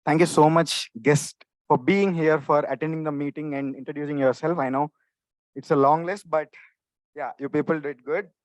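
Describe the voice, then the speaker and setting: A man's voice: fairly high pitch, steady tone, normal speed, no echo, poor audio. A young man hosting a student club meeting, casually welcoming guests onto the stage with friendly encouragement. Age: young adult. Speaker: meeting host.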